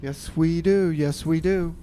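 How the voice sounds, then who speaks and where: A man's voice: on the high side, fairly even intonation, a little slow, mellow, a little hiss or hum. A man hosting a community radio show from the studio, reading announcements and chatting in a laid-back way, calling his listeners folks. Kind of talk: casual radio banter and announcements.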